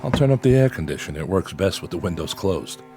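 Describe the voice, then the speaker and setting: An actor in a radio drama playing a calm, skeptical male psychiatrist who probes his patient in a measured, dry, clinical manner. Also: sits low, background noise. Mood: wary, dry. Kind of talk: audio drama acting.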